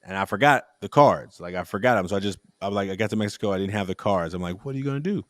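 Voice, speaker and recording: A man with a somewhat low voice hosts a relaxed conversation and tells a long story in a loose, rambling way, often restarting his sentences. The sound is close and dry, with no room around it. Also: racing, no room sound. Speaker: podcast host. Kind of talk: casual conversational interview.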